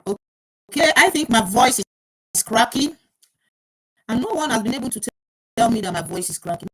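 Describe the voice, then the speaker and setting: A woman's voice: fairly high pitch, expressive, normal speed, fairly bright tone. A woman hosting a live online program through an earpiece mic. She talks her way through the technical trouble, with lots of fillers and restarts. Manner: flustered, troubleshooting aloud, many fillers and restarts. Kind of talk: live online talk show.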